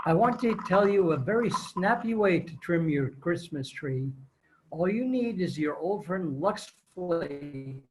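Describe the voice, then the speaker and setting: A man's voice: high voice, noisy background. A man playing a folksy, enthusiastic announcer reading an old-time radio advertisement in a chatty, gushing way. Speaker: commercial announcer.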